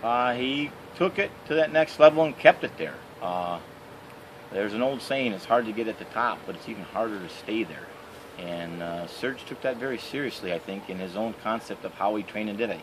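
Halting low-voiced Michigan man outdoors. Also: animated, background noise. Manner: halting, many "uh" fillers. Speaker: interviewee, canoe racer. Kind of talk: casual interview answers. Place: outdoors by trees and a house.

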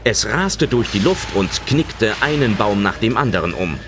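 A man's voice: fairly low pitch, sing-song, moderate pace, music in the background. A man narrating a German children's audio drama as a dramatic scripted storyteller.

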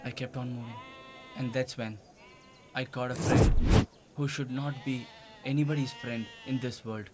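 A man's voice: mid-range voice, fairly even intonation, measured pace, natural voice. An actor playing a headstrong, blunt, brooding young man in a dramatic film dub. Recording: loud music over dialogue.

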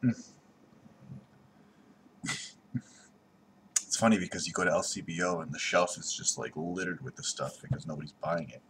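A man's voice: sits low, moderate pace, pauses often, a little hiss or hum, rough recording. A man, reviewing a beer to a webcam at home in a blunt, sarcastic way. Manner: blunt, sarcastic, jumpy in loudness. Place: small room at home, indoors. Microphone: webcam at desk.

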